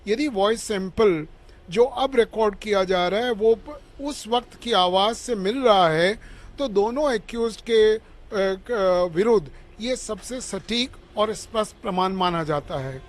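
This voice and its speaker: An Indian-accented man giving explanatory interview comment in a halting way, mixing in English terms. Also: high-pitched. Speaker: legal commentator.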